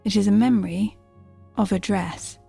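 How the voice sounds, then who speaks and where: An actress voicing a calm, clinical artificial guide in an audio drama, with a measured, formal manner. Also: female voice, mid-range voice. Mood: gently composed. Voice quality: creaky, soft-toned. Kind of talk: restrained audio drama acting. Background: music.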